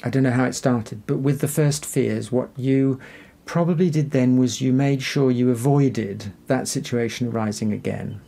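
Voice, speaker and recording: A man speaks as an interview guest with a soft, dark tone and plenty of creak. He explains carefully and reassuringly, in a conversational way. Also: medium pitch, moderate pace, hardly any pauses.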